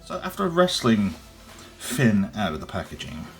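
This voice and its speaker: A young man with a cold, his voice deep and gruff, chatting casually and rambling as he unboxes and reviews a toy. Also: huge pitch swings, moderate pace, faint music behind. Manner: rambling, chatty, frequent ums. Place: indoors at a table, off camera.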